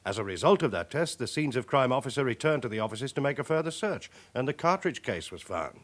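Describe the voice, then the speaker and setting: An actor playing a cautious, middle-aged British police chief inspector in a radio drama, formal and measured. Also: male voice, mid-range voice, sing-song, a bit bright. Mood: stern, measured. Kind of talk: radio crime drama acting.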